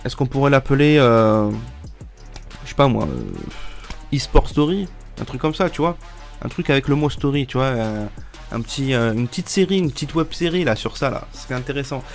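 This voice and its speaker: A man's voice: medium pitch, very animated, normal speed, faint music behind. Man in his twenties with a cold. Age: 20s. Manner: rambling, many fillers and restarts. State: stuffy from a cold. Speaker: YouTuber. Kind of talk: casual vlog talk to viewers.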